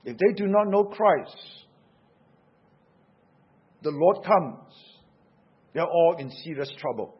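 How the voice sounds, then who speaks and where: Singaporean man preaching a sermon, husky high voice, dull lo-fi recording, urgent and questioning. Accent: Singaporean English.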